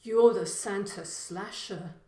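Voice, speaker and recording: A woman's voice: medium pitch, takes it slowly, warm tone, echoey room. A woman voicing a mild, weary, good-natured middle-aged man in a story, plain-spoken. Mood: miserable.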